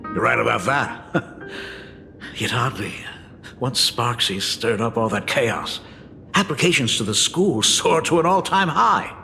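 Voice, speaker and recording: A man's voice: mid-range voice, lively intonation, a little slow, vocal fry, long pauses, bright, forceful tone, full character voice. A middle-aged actor playing a polite, hesitant male school dean and father in a video game. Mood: measured. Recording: reverberant game audio with music.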